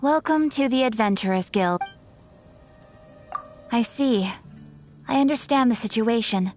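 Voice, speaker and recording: A woman's voice: a little high, lively intonation, unhurried, darkish tone. A woman playing a polite, composed young guild receptionist in an anime-style video game, speaking formally. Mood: polite, businesslike. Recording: music behind the voice. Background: game background music.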